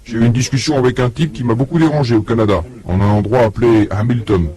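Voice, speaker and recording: Man, mid-pitched voice, lecturing an audience, storytelling, asks the crowd questions. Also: fast. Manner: storytelling, acts out both sides of a dialogue; addresses the audience directly with questions. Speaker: religious lecturer.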